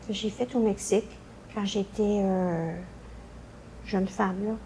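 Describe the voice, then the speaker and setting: Older woman, Quebec French accent, unhurried, soft-edged mellow tone, halting recollection, light background noise. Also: mid-range voice, fairly even intonation, poor audio. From Canada. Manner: hesitant, halting, searching for words. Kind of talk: unscripted personal recollection.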